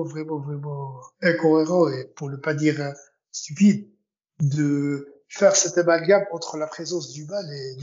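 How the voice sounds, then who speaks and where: A man from Algeria, invited as a guest, argues his view earnestly and piles up repeated words. His voice sits a little high, and the recording sounds dull and muffled. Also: darkish tone. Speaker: podcast guest. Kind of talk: casual debate chat.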